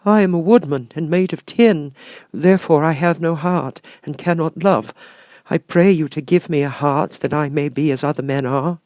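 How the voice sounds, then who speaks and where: Storybook tin man, male reader acting: lifted pitch, earnest, courteous, plaintive, longing. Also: normal speed, a little mellow, dry recording, close to an everyday voice. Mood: earnest pleading. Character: gentle earnest tin woodman longing for a heart.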